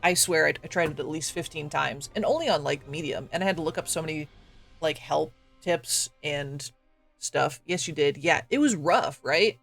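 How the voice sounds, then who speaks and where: A man chats casually on a live game stream, his voice slightly raspy from coughing and gravelly at the edges. He talks in a fairly high register, full of fillers and little self-deprecating laughs. Faint music plays underneath. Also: moderate pace, fairly bright tone. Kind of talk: casual live-stream chat while gaming.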